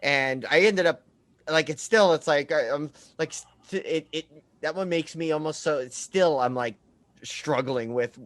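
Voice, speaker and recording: A man's voice: on the high side, moderate pace, fairly bright tone, no room sound. A man hosting a casual film chat, rambling and often trailing off mid-thought.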